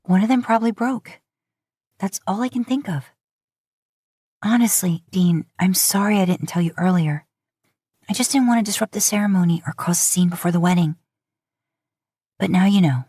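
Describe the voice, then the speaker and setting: A woman's voice: mid-range voice, moderate pace, long pauses, dark tone, husky, dry recording, clean studio sound, pitched above the performer's own voice, natural voice. A woman voicing an anxious, earnest young woman in a fan fiction audiobook, nervous and pleading. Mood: weary, apologetic.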